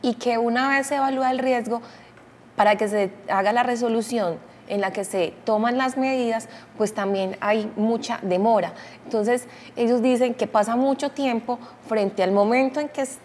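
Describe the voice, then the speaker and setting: A woman giving measured explanatory commentary as a programme guest. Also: fairly high pitch. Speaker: studio guest, expert. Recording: reverberant room, faint noise.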